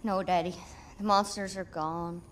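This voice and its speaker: A woman's voice: on the low side, fairly even intonation, a little slow, slight background noise, close to an everyday voice. A woman playing a frightened, timid young farm boy, meek and pleading, in a video game cutscene. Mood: timid, obedient.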